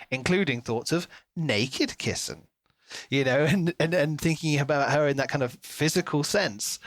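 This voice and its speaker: A man with a mid-pitched voice in casual podcast discussion. He talks things through discursively, with conversational asides, and the sound is close and dry. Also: a bit bright, no echo. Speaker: podcast co-host discussing books. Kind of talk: casual analytical chat.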